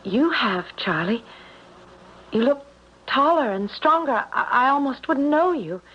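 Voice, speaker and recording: High-voiced flustered young sweetheart. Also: female voice, lively intonation, a little slow, slightly rough, exaggerated voice. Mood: startled, flustered. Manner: hesitant, breaks off and repeats. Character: flustered, torn young sweetheart, a small-town woman. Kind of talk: radio drama acting. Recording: muffled 1970s radio broadcast.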